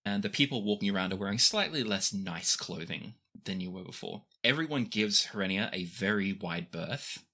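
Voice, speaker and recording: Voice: deep voice, animated, normal speed, few pauses, pushed and bright. A game master narrating a tabletop adventure in a descriptive, conversational way.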